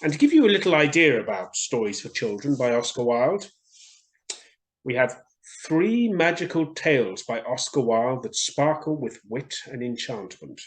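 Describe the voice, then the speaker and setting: A man reading stories aloud to children in an expressive way, speaking directly to his young listeners. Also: medium pitch, normal speed, rough recording. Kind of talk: children's story reading, scripted narration.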